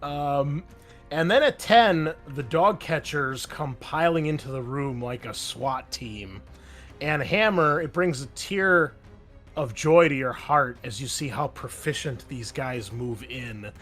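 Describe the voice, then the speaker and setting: A man's voice: mid-range voice, animated, slow pace, a bit bright, faint music behind. A young man narrating combat as game master, loose and chatty, with many ums and uhs. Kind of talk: narrating a tabletop game over voice chat.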